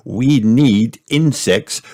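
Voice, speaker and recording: A man voicing a kindly old grandfather pig in a children's storybook, explaining things in a drawn-out way. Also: medium pitch, dark tone, voice pushed up.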